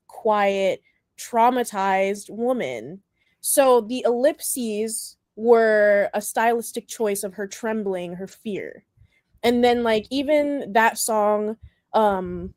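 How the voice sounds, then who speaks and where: A woman's voice: mid-range voice, darkish tone, no echo, clean studio sound. A woman hosting a podcast, giving her opinions expressively in a casual group discussion. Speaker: podcast host.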